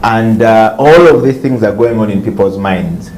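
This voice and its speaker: Low-voiced male interview host. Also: a little mellow, reverberant, poor audio. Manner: measured, repetitive and reflective. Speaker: talk show host. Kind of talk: studio interview. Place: studio.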